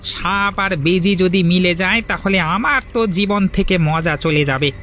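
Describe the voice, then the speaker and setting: A man's voice: high-pitched, measured pace, fairly bright tone, soft background music. An actor playing a scheming middle-aged village man who plots aloud to himself in a cartoon. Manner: plotting aloud to himself, sly. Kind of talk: cartoon voice acting.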